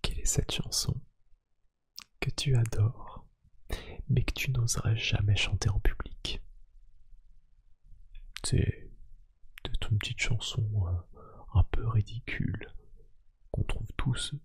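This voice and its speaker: Breathy, half-whispering man, soft ASMR. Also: lowish voice. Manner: gentle, hesitant, unhurried, lightly teasing. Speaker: ASMR roleplay host asking personality questions. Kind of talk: soft-spoken ASMR roleplay, one-sided conversation.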